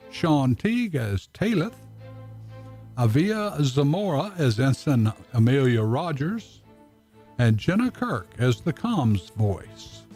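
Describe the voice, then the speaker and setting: A man's voice: mid-range voice, a little mellow. A man working as a radio announcer, reading scripted closing credits for a drama. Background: music.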